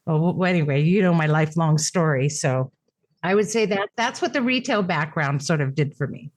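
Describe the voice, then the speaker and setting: A middle-aged woman whose first language was Japanese speaks in a slightly low voice. She tells her story reflectively, stopping and restarting often, and laughs easily. The recording is dry and close. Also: animated, fairly quick, no room sound. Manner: reflective, warm storytelling with frequent fillers and restarts. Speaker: podcast guest, caregiver. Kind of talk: conversational interview.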